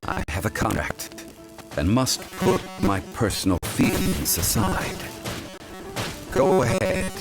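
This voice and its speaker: An actor voicing a calm, dignified ancient man who talks in a measured, formal way. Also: a little high, exaggerated voice. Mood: composed. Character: calm, dignified, ancient male consultant bound by contracts. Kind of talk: game voice acting. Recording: game audio with music underneath.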